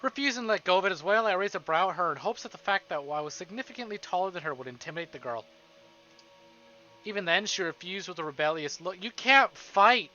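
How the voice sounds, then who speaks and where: A man reading a visual novel aloud on a live stream, with casual, excitable, foul-mouthed reactions. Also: sits high, quick pace, dull audio, soft background music.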